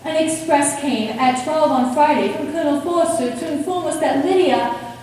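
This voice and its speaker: A woman in an amateur stage play, acting a gentle, worried young sister who earnestly recites a letter. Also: high-pitched, normal speed, natural voice. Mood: anxious. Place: theatre stage, recorded from a distance. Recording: echoey hall, distant mic, faint noise.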